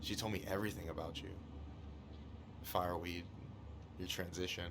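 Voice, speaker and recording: Low-voiced, friendly young guy. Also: steady tone, normal speed, vocal fry, background noise. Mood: sincere, casual. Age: young adult. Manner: warm, casual, earnest. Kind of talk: naturalistic video game dialogue acting.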